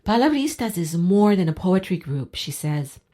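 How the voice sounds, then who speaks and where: A woman's voice: fairly low pitch, sing-song, moderate pace, mellow. A woman radio reporter reading a scripted news narration.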